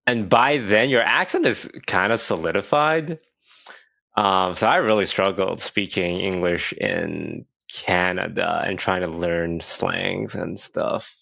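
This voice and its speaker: A man in his thirties from Malaysia with a gravelly, low voice, trading wry stories on a casual podcast. His sound is close and dry, with no room around it. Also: takes it slowly, pushed and bright, dry recording. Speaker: podcast co-host. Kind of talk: casual chat.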